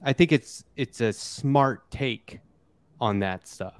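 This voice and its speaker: A man hosting a casual political podcast, talking in a halting, conversational way as he interviews his guests. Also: mid-range voice, no echo.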